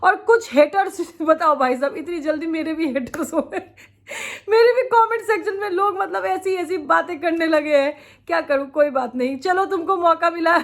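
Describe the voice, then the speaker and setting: A woman vlogger, chatting opinionatedly about other creators while she has a sore throat from a cold and laughs often. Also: extremely high pitch. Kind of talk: casual reaction vlog commentary.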